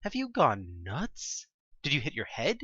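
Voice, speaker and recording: A man reading scripted second-person audio drama narration in a deliberate, dramatic storytelling manner. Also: medium pitch, huge pitch swings, sharp tone, slightly rough, no room sound, lo-fi sound.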